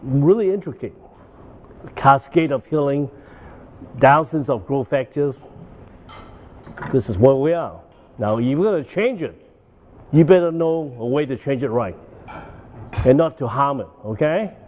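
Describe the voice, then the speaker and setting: Older guy giving a conference talk, lots of uh and okay?, warm relaxed voice. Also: mid-range voice, measured pace, pauses often, slight background noise. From Hong Kong. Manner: rambling, with frequent "uh" and "okay?" tags. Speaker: guest lecturer. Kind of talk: medical conference lecture. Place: banquet hall.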